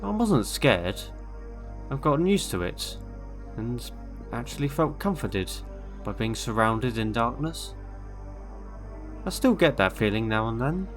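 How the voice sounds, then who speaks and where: A man narrating a scripted first-person horror story in a measured, deliberate way with dramatic pauses. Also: mid-range voice, sing-song. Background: eerie background music.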